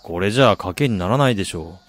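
A man's voice: low voice, lively intonation, fast. An actor playing a reflective, polite soccer fan who also narrates his own story in a radio drama. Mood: reserved. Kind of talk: Japanese radio drama acting with first-person narration.

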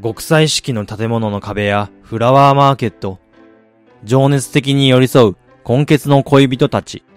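Male actor as driven businessman husband, mid-range voice, reflective radio drama monologue, measured storytelling, background music. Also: mid-range voice, no room sound, natural voice. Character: driven businessman husband and father, reflective narrator of his past. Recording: music bed behind the voice.

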